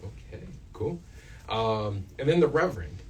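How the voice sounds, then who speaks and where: A young man hosting a casual radio interview, halting and informal as he feels his way toward his questions. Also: on the low side, animated. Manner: halting, informal, groping for phrasing. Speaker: student radio interviewer and host. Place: student radio studio. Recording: off-mic, distant sound with background noise.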